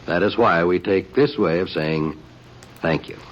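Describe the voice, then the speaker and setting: A man's voice: sits low, heavy background noise. A man reading a formal, courteous on-air announcement as a radio announcer. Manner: measured, courteous. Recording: dull old radio broadcast.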